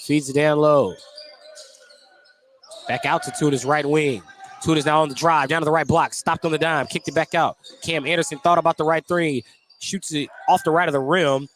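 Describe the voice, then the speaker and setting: Higher-voiced man calling live basketball. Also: steady tone, normal speed, a bit bright, a little hiss or hum. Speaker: play-by-play announcer. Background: basketball gym ambience, bouncing balls.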